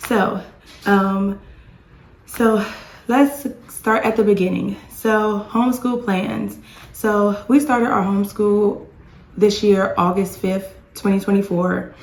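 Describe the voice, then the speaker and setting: A woman in her thirties chats away in a rambling, friendly vlog, her voice a little hoarse from cheering. She sits in an echoey room at home, and her pitch stays in a middle range. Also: fairly even intonation, takes it slowly. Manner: chatty, rambling with restarts. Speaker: homeschooling mom vlogger. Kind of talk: casual chatty vlog. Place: home with dishwasher and dryer running.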